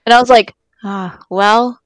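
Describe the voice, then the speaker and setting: A woman's voice: fairly high pitch, fairly even intonation, measured pace. A woman in her early twenties in casual chat, giggly and telling stories with quoted asides.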